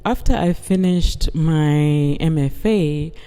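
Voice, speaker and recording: A woman from Uganda, a guest artist, talking in a calm, measured way and often affirming with "yes". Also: sits low, smooth. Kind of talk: calm conversational interview. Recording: long hall reverb.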